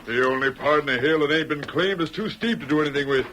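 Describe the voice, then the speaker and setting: Man playing higher-pitched sneering western swindler. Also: brisk, fairly bright tone, close to an everyday voice. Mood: scornful, bullying. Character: greedy, sneering frontier swindler, bullying and scornful. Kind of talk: 1940s radio drama acting. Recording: faintly noisy old radio recording.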